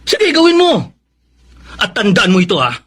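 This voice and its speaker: High-pitched, raspy, angry husband. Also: male voice, wildly swooping pitch, normal speed, fairly bright tone, exaggerated voice. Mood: furious, threatening. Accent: Filipino. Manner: scolding, confrontational, threatening. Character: angry, stern husband fed up with his addicted wife. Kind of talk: Filipino radio drama acting.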